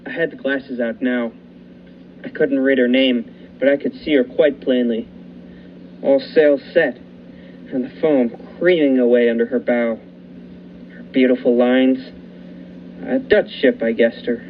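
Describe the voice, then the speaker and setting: A man's voice: medium pitch, fairly even intonation, close to an everyday voice. A man playing a tense lighthouse keeper narrator. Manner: measured, suspenseful storytelling. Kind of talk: student radio play narration. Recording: muffled with faint hiss.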